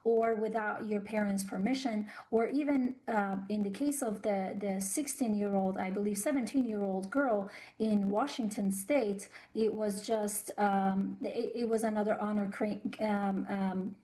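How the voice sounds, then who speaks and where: A woman from Iran, a guest in a news interview, speaking earnestly and haltingly with many fillers and restarts. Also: mid-range voice, dark tone, rough recording. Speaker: guest commentator on human rights.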